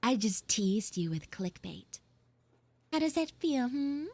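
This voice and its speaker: A woman's voice: a little high, sing-song, darkish tone, dry recording, full character voice. A woman voicing a smug, playful tsundere frog schoolgirl in a live audio roleplay, speaking in a coy, teasing way. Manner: coy teasing, drawn-out pauses, occasional whispered asides.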